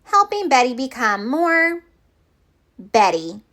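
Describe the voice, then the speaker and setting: A woman reading a children's picture book aloud as storyteller, with expressive, deliberate phrasing for young listeners. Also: high-pitched.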